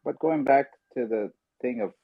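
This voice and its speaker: A man's voice: mid-range voice, moderate pace, breathy edge, no room sound. A man hosting a casual podcast chat on an audio call, talking loosely and telling stories with acted-out voices. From South Sudan. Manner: chatty, acts out voices when telling stories. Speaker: podcast host. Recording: dry tinny phone-line sound.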